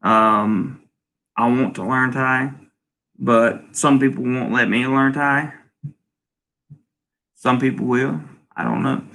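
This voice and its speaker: Bright-voiced man rambling through live chat. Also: medium pitch, steady tone, slow, pauses often, rough recording. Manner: rambling, lots of ums and restarts. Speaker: channel host. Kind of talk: casual livestream, reading viewer comments. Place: home in Thailand.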